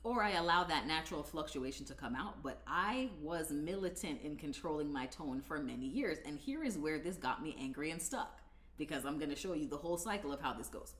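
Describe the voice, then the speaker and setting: A deep-voiced woman in her thirties speaks candidly straight to her viewers, her pitch swinging widely as she explains. Her low voice rings a little in an echoey room, and she talks like a coach sharing her own story. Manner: candid, conversational, animated, punctuated with laughs. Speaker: coach and video creator. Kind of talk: direct-to-camera talk video.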